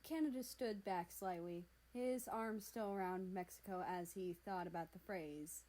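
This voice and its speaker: A woman reading fan fiction aloud as an expressive narrator. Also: mid-range voice, measured pace.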